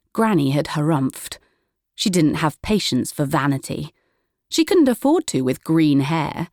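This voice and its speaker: A woman narrating a children's story in a wry, measured way. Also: low-pitched, a little mellow, no echo, studio quality. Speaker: audiobook narrator.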